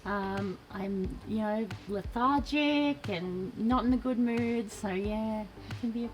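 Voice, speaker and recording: A woman's voice: mid-range voice, slow pace, faint music behind, low-quality recording. A woman in her thirties giving chatty, casual interview answers with frequent giggles. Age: 30s. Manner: chatty, giggles between phrases.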